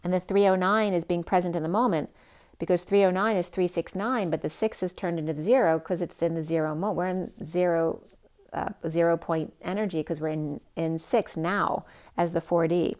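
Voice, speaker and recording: Woman tarot reader, smooth lowish voice, slightly hoarse, rambling, muffled lo-fi sound. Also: steady tone, normal speed, darkish tone. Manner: rambling, free-associating, many restarts. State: losing her voice. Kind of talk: spiritual tarot reading.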